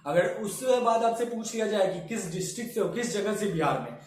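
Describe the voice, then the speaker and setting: Man, high voice, very echoey room, classroom teacher, checks understanding. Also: lively intonation, a little fast, faint music behind. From Bihar, India. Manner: mixes Hindi and English, asks "samajh mein?". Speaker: English teacher. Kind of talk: classroom lesson.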